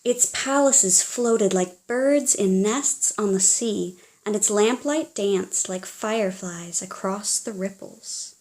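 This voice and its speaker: A woman reading a children's storybook aloud in a theatrical, expressive way, as if speaking directly to young listeners. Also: mid-range voice, takes it slowly, few pauses. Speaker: children's audiobook reader.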